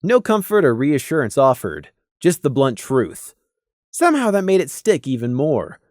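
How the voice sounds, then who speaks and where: Audiobook narrator for fantasy fiction, mid-pitched, animated delivery, dry sound. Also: normal speed, no echo.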